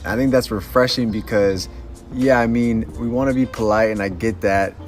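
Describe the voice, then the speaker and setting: A man talking in a relaxed, reflective way, with easy laughs. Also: fairly low pitch, moderate pace. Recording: faint background music.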